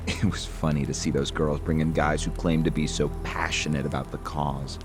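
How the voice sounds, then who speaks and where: An actor playing a smug, calculating political schemer who explains things at length in a video game cutscene. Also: male voice, very deep voice, flat delivery, moderate pace, music in the background. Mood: cynical, boastful. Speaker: character in a crime drama video game.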